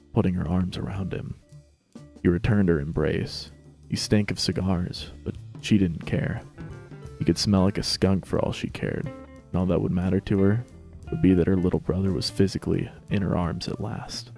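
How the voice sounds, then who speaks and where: A man's voice: low-pitched, steady tone, normal speed, vocal fry, a little mellow, soft background music. A man narrating a scripted story as a dramatic reading.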